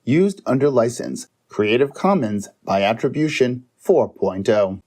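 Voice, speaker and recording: A man's voice: medium pitch, animated, measured pace, darkish tone. A middle-aged man speaking as a podcast co-host, in talk and reading. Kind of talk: friendly podcast chat and poem reading.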